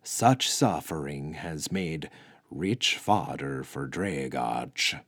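A man's voice: extremely low pitch, animated, extremely slow, fairly bright tone, husky, no room sound, clean studio sound, voice pushed down, full character voice. A man voicing a reflective companion who counters with thoughtful argument.

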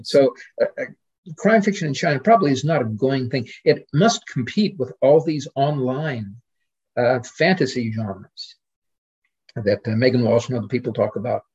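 A man speaking as a guest scholar in an informal academic discussion, in a hesitant, digressive manner with a husky voice. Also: medium pitch, moderate pace, darkish tone, dull audio.

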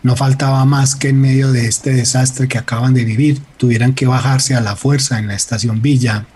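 A man's voice: medium pitch, steady tone, moderate pace, darkish tone, slightly rough. A man delivering dramatic scripted narration as the narrator of a radio serial.

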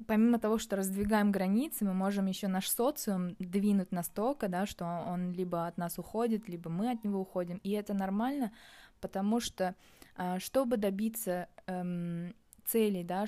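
A young adult woman vlogging in a casual reflective monologue, thinking aloud and pausing often to find her words. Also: mid-range voice, dark tone. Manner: thinking aloud, hesitant with many pauses.

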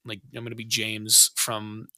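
A man's voice: lowish voice, monotone, unhurried, bright, forceful tone, no echo. A man chatting casually on a podcast, joking and swearing as he rambles. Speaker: podcast co-host and streamer.

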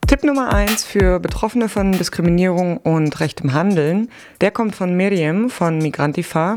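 Woman hosting a calm scripted podcast. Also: on the low side. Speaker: podcast host.

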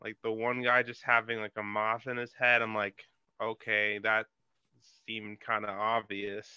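A man with a very bright, crisp voice talks casually as a podcast guest, giving his frank opinions. His microphone sounds dull and lo-fi, yet the close, dry sound stays clear. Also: on the low side, completely flat delivery, unhurried, no echo. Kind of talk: casual chat. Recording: dull lo-fi mic.

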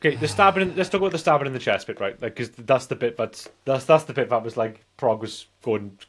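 A man in his forties co-hosts a loose film review chat. His voice sits at a middle pitch. He talks in an animated way, circling back over his words and checking in with "right?" as he builds a point. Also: fast, a little hiss or hum. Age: 40s. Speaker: podcast host.

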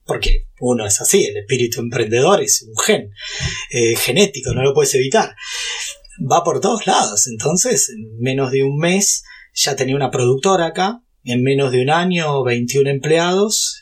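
A man in his fifties with an Argentine Spanish accent, Portuguese-speaking from childhood, telling his story at length in a relaxed interview, with many fillers and restarts. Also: mid-range voice, breathy edge. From Brazil. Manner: talkative, frequent fillers and restarts. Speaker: guest, YouTuber and entrepreneur. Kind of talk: casual interview.

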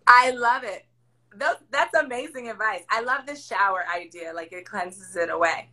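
A woman hosts a casual podcast chat, talking loudly and pressing her point with emphasis. Her voice is raspy, with a bright, forceful edge. It sits a little high for a woman. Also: lively intonation, moderate pace. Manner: loud, emphatic, encouraging. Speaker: podcast host. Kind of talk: casual chat over a video call.

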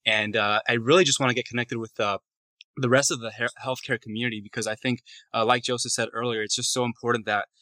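A man's voice: mid-range voice, steady tone, quick pace, pushed and bright, no room sound. A young man in his twenties from Puerto Rico, a physical therapist co-hosting a podcast, speaking politely and earnestly. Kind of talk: casual podcast chat.

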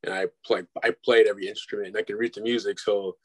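A man's voice: on the low side, level tone, quick pace, fairly bright tone, no room sound. A man in his twenties from Milwaukee, a dubstep producer talking easily as an interview guest, with casual slang and loose laughter. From Milwaukee, Wisconsin, United States. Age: 20s. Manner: laid-back, says "bro", laughs between phrases.

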